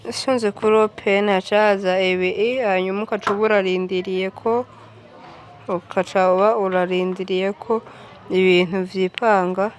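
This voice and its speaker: A woman's voice: mid-range voice, fairly even intonation, moderate pace, slight background noise. A female speaker with a Burundian accent explaining an app step by step, repeating words as she goes. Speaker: tutorial presenter.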